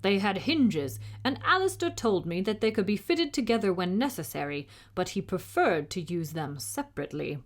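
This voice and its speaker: A proud little knight from a storybook, voiced by a woman reader. He speaks in formal, old-fashioned phrases with great dignity and is easily indignant. The pitch moves in lively swings. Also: normal speed, hardly any pauses. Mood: grave storytelling. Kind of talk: storybook character reading.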